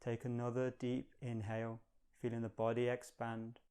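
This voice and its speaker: Smooth, even-toned male meditation guide. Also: mid-range voice. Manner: calm, measured, gentle. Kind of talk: guided meditation, slow and soothing instruction.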